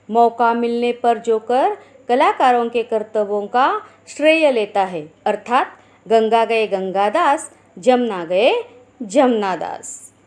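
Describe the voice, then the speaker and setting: Lady teacher going slow thru a hindi lesson for kids, kinda high voice, asks then answers herself. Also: darkish tone. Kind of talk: Hindi grammar lesson for schoolchildren.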